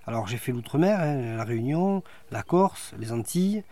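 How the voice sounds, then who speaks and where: A middle-aged man, a magistrate, answering interview questions in a measured, precise way with dry humor. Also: medium pitch, animated, mellow, no echo. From France. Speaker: guest, magistrate.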